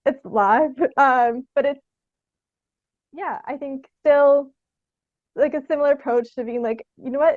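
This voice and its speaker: A woman's voice: sits high, dry recording, phone-quality sound. A young adult woman chatting informally as a theatre artist in an interview, with a giggly manner. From Canada. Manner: informal, often giggling mid-sentence. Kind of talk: casual interview chat.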